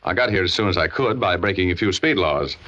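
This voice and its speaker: Sardonic gumshoe, slangy and wisecracking, very animated delivery, vintage radio drama with clear hiss. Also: male voice, low voice, quick pace. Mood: breezy, impatient. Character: wisecracking hard-boiled male private detective. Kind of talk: 1940s radio detective drama acting. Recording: noisy, muffled old radio recording with clicks.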